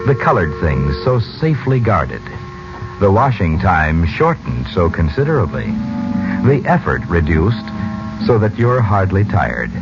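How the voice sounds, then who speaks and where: A male announcer in 1940s radio style with a deep voice that rises and falls freely. He is persuasive and emphatic, over loud music on a dull old recording. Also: sing-song, unhurried.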